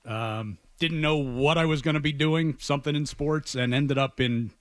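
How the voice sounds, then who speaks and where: A man's voice: a little high, normal speed, dry recording. Man in his forties, dry studio sound. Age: 40s. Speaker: sports play-by-play announcer as guest. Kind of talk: casual radio interview. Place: radio studio.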